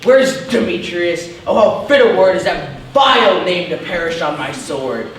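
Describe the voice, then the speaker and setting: A man playing an ardent young gentleman lover, fervently declaiming Shakespearean stage verse. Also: on the high side, moderate pace, close to an everyday voice. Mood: impassioned. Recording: very echoey, distant mic, background noise.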